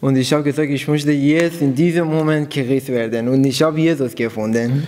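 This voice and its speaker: A man from Iran giving a personal testimony in halting, phrasing, pausing after each short part. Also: mid-range voice, fairly even intonation, darkish tone. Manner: simple non-native German, halting phrasing. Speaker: church member giving testimony. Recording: long hall reverb.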